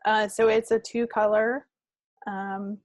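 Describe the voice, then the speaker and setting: A woman's voice: medium pitch, little pitch movement, takes it slowly, no echo, clean studio sound. A woman co-hosting a podcast, chatting casually in a rambling, self-deprecating way. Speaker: podcast co-host. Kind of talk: casual chat about knitting projects.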